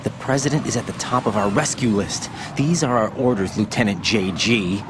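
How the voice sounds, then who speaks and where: An actor playing an earnest young soldier in a video game, urgent and questioning in a military manner. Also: male voice, fairly low pitch, moderate pace, noisy background, close to an everyday voice. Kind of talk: dramatic video game voice acting.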